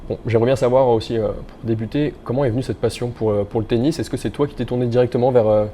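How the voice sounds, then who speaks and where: A man's voice: mid-range voice, steady tone, quick pace, mellow, slight background noise. A young man hosting an interview in a casual, conversational style. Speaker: interviewer, host.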